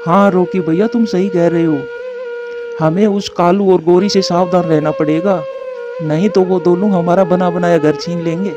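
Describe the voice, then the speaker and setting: High-pitched indignant male forest bird played by a man. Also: steady tone, normal speed, music in the background, lightly acted. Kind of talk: Hindi cartoon dialogue.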